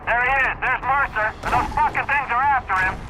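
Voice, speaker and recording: An actor playing a panicked soldier in a video game, shouting frantically for backup under attack. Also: male voice, very high-pitched, a little fast, full character voice. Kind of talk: video game cutscene acting. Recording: noisy battlefield with gunfire.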